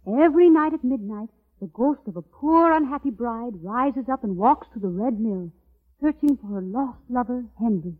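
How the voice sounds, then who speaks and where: A woman's voice: a little high, expressive, moderate pace. A woman playing a sweet, melancholy young Dutch burgomaster's daughter in an old radio operetta, with a theatrical delivery. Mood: coy, eerie. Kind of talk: 1940s-50s radio operetta acting. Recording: dull old radio recording with music.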